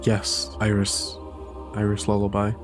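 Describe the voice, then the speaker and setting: A man plays an uncertain young heroine in a tabletop game. The voice sits low and sounds hesitant and defensive, informal and full of questions, over faint music. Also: completely flat delivery, a little slow, close to an everyday voice. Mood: puzzled. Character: uncertain, defensive young girl, a god's child.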